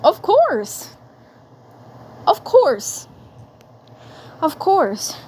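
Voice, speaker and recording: A high-voiced little cartoon alien guy , played by a woman, eager and kindly. He sounds earnest and keen to help, recorded as a fandub with clear background noise. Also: wildly swooping pitch, very slow, a little mellow, heavily acted. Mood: delighted. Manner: earnest, solicitous.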